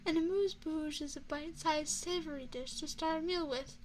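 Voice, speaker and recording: A woman voicing a courteous female vampire chef and restaurant owner in an ASMR roleplay, speaking in a formal, measured, refined way. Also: high-pitched, close to an everyday voice. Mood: gracious, attentive. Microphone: binaural microphone.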